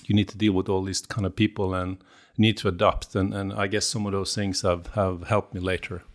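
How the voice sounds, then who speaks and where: Low-voiced Norwegian man, halting and hesitant. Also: little pitch movement. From Norway. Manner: hesitant, with many fillers and restarts. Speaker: guest. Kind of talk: casual interview.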